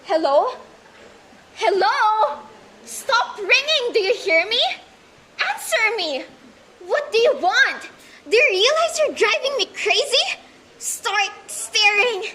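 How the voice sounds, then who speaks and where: A woman's voice: very high voice, expressive, slow, bright, forceful tone, slight background noise, exaggerated voice. A woman playing an anxious, demanding bedridden wife in a radio play, agitated and complaining. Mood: frantic.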